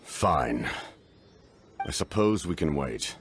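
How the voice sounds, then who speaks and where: A man's voice: rumbling low, lively intonation, slow pace, a little hiss or hum, exaggerated voice. A man playing a stern, pragmatic military commander in a video game, speaking in a formal, controlled, terse way. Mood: reluctant, controlled.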